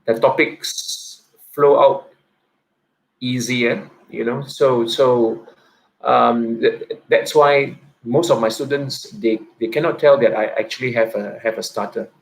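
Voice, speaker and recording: A man in his thirties, a teacher, giving a personal reflective talk, speaking haltingly with a stutter and frequent repeats and restarts. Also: mid-range voice, steady tone, vocal fry, slight background noise, poor audio. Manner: soft-spoken and introverted.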